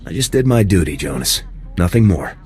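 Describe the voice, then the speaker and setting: Deep voice army lieutenant guy, short earnest lines, video game, slight noise behind. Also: big pitch swings, fairly quick, darkish tone. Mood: serious. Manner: terse, earnest, military. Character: dutiful, restrained male soldier, a lieutenant. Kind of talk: video game character acting.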